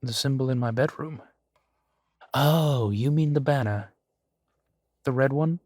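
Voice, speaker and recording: Man playing a slow-talking, genteel old vampire duke. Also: medium pitch, dry recording, natural voice. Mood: indulgent. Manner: unhurried, theatrical, savoring pauses with soft chuckles. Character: centuries-old male vampire duke, genteel, amused, doting host. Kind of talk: intimate roleplay audio drama.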